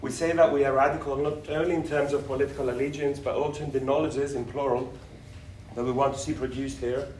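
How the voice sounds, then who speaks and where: A man's voice: medium pitch, flat delivery, fast, a little mellow. A man's voice comes from some distance in an echoey room, with faint noise around it. He is a host reading out a formal introduction. His delivery is eloquent and emphatic, built in long, polished phrases. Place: indoor university lecture event.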